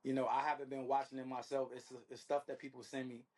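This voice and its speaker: A man giving casual answers as an interview guest. His mid-pitched voice stays very even, and he talks in loose slang with frequent check-in phrases. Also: quick pace. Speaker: interview guest, reality dating show contestant.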